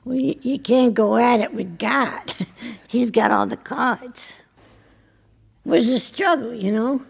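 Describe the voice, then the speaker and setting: Radio play role: blunt, wry older wife, heavy creak, slow halting delivery. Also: female voice, on the high side, exaggerated voice. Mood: wry, confiding. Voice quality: husky, creaky. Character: blunt, worldly married woman who works in a restaurant. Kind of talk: intimate two-person audio drama acting.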